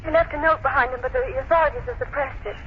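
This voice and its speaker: A woman's voice: sits high, fairly even intonation, very fast, natural voice. A woman acting a refined, inquisitive lady companion in an old radio drama, polished and genteel. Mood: troubled. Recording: dull, hissy old radio recording.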